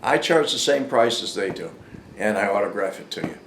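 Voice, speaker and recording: An older man telling a casual, unscripted story in a halting, rambling, plain-spoken way. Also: on the low side, lively intonation, vocal fry, fairly bright tone. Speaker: storyteller, former informant hosting his own channel. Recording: echoey room with faint background noise.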